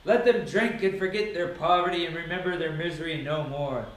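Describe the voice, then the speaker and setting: A man giving a dramatic, solemn reading of scripture, declaiming each phrase deliberately. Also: a little high. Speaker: Bible reader. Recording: very echoey, reverberant sound.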